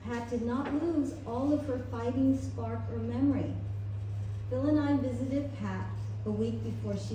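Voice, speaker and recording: A woman reading a prepared eulogy at a memorial, measured and tender. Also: on the high side. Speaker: family member giving a eulogy. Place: memorial gathering in a large room. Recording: echoey room, distant mic, faint noise.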